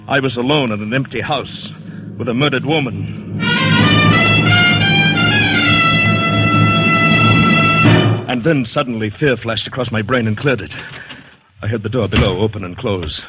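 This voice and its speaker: A man's voice: medium pitch, close to an everyday voice. An actor playing a terrified, shell-shocked fugitive, telling his story in tense, clipped phrases in 1940s radio drama style. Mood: shocked, fearful. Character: terrified, shell-shocked man fleeing a murder scene. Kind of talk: 1940s radio drama first-person narration. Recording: muffled vintage radio with music behind.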